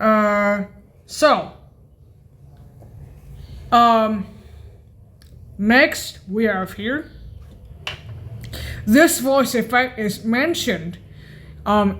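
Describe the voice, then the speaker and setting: A woman demonstrating keyboard sound presets in an informal tutorial, speaking haltingly, listing items and often correcting herself. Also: a little high.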